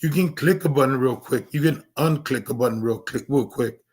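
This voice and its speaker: Hoarse man losing his voice. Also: medium pitch, a little fast, a little mellow. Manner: earnest, rambling with many restarts and fillers. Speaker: streamer. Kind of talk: casual livestream monologue.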